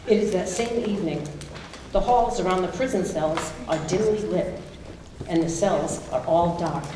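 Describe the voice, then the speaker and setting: A woman reading scripted stage directions as narrator at a live staged reading. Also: lowish voice. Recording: echoey room, distant mic, noisy.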